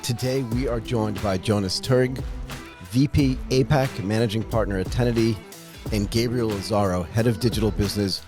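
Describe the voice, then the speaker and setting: A man's voice: lowish voice, moderate pace, music in the background. A man hosting a corporate podcast interview, upbeat and prompting his guests with questions. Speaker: podcast host.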